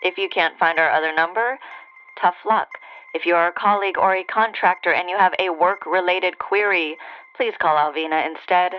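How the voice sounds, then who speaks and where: A woman's voice: lowish voice, normal speed, soft background music. An actress playing a curt, unhelpful woman's recorded greeting through an answering machine filter in an audio drama. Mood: curt, dismissive. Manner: brusque, dismissive. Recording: muffled, noisy answering machine tape.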